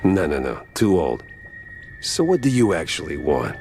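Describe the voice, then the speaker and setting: World-weary private-eye mouse for a noir game: low, dry sarcasm, lively inflection. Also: male voice, moderate pace, gravelly, soft background music, heavily acted. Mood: exasperated. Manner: deadpan, sarcastic muttering. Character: wry, world-weary hard-boiled mouse private detective. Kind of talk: noir cartoon video game acting.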